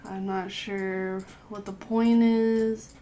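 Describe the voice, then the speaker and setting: A young woman, hoarse from a cold, giving a casual game guide voice-over and often clearing her throat. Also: mid-range voice, little pitch movement, very slow, dark tone, a little hiss or hum. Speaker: gaming youtuber.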